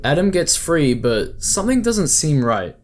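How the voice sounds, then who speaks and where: A man's voice: a little high, very animated, measured pace, soft background music. A man giving scripted, explanatory narration as the host of a video essay.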